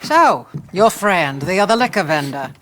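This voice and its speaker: An actress playing an imperious, sharp-tongued matriarch in a game cutscene. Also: female voice, on the low side, lively intonation, moderate pace, noisy background, lightly acted. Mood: disdainful. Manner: imperious, disdainful. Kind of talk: dramatic game cutscene acting.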